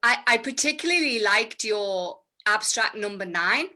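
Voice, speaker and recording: A woman's voice: medium pitch, lively intonation, unhurried, sharp tone. A woman hosting an improv scene, chatty and giggly with lots of fillers. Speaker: improv performer and host. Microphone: video call.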